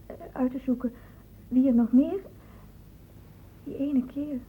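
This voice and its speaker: An actress playing an anxious young college student in a radio play, speaking haltingly and timidly as she confides. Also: sits high, fairly even intonation. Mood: hesitant confiding. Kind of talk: Dutch radio drama acting. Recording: muffled, narrow-band old radio recording, noisy.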